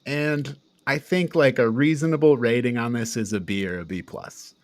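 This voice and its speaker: A man's voice: medium pitch, fairly even intonation, moderate pace, dry recording. Man chatting casually, close dry sound. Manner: informal, swears casually, laughs often. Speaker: podcast co-host. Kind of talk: casual film review chat.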